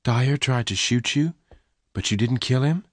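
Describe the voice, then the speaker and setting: A man's voice: medium pitch, a little slow, dry recording, pitched above the performer's own voice, natural voice. A man voicing an anxious young woman who pleads in a raised, emotional voice.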